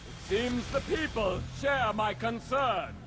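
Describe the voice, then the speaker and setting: An actor voicing a paranoid, menacing knight commander in a video game, with an accusatory, imperious and theatrical delivery. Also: male voice, extremely high pitch, slow pace. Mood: fierce. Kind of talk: video game villain acting. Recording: dramatic music behind the voice. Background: music.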